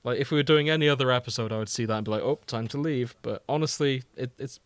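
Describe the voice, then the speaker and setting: A man's voice: medium pitch, fast, dry recording. A man co-hosting a podcast, chatting casually about fandom. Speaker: podcast co-host.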